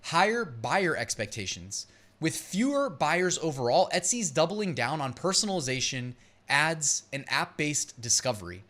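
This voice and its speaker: A man's voice: fairly high pitch, sing-song, moderate pace, fairly bright tone. A man hosting an advice channel, explaining points in an emphatic, punchy way and mixing read-out lines with his own commentary. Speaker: host of an online seller advice channel. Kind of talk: explainer commentary to camera, part reading out points.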